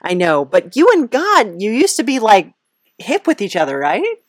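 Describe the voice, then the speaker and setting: A woman's voice: on the high side, wildly swooping pitch, normal speed, no room sound, clean studio sound. A woman hosting a comic interview in a casual, rambling, hesitant way with a wry touch. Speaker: podcast host, interviewer.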